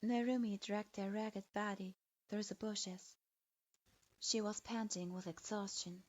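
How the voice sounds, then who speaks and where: A woman reading a dark fanfiction story aloud as its narrator, in a steady, even, scripted manner. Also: mid-range voice, measured pace. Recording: dull dry lo-fi recording.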